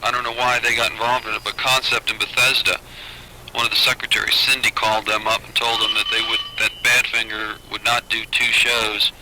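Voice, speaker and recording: A man working as a booking agent, talking business haltingly on a noisy old taped phone call. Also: fairly low pitch, flat delivery. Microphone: telephone.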